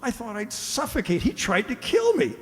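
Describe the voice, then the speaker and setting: Actor as frantic, high-voiced man in echoey hall. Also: wildly swooping pitch, normal speed, heavily acted. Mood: frantic, indignant. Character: panicked, indignant husband escaped from captivity. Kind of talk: radio play acting. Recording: echoey hall, long reverb.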